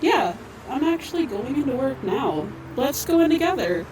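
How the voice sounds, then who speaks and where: A woman's voice: sits high, very animated, normal speed, far from the mic, noisy background. A woman playing a friendly, helpful robot medic in an audio drama, with an easygoing conversational manner. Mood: friendly, teasing. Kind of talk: fan audio drama acting.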